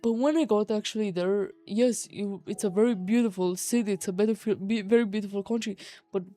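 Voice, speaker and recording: Female high schooler, Romanian accent, mellow tone, exasperated slangy vlog rant, music underneath. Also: a little fast. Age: teenager, high schooler. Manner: exasperated, slangy, emphatic with swearing. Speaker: vlogger. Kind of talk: casual personal rant.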